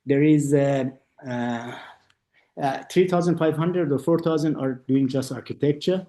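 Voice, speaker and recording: Halting male architect in a roomy space. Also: medium pitch, warm tone. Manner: halting non-native English, many restarts, acts out quoted dialogue. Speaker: architect and interview guest. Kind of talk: conversational interview. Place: lives in Austria.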